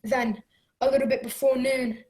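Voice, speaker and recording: A woman's voice: high-pitched, little pitch movement, normal speed, darkish tone, natural voice. A woman playing an earnest young cabin boy who narrates his adventure in a radio play. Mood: earnest, wondering. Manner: earnest, storytelling.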